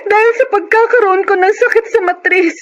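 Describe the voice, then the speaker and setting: A woman's voice: very high-pitched, steady tone, fairly quick. A woman playing a compassionate young nanny, earnest and pleading, in a Tagalog radio drama. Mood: tearful pleading. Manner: pleading, respectful, heartfelt. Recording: dull, noisy radio drama audio.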